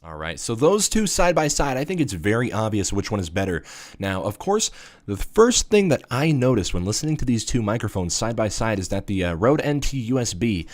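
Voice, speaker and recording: Deep-voiced man, casual tech review, lively up-and-down delivery, rambling with fillers, dry close mic. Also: a little fast, no room sound. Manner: rambling, filler-heavy explanations. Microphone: USB studio microphone.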